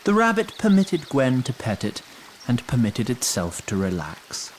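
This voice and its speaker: A man's voice: medium pitch, wildly swooping pitch, measured pace, slight background noise. A man narrating a scripted fantasy story as a dry, literary storyteller.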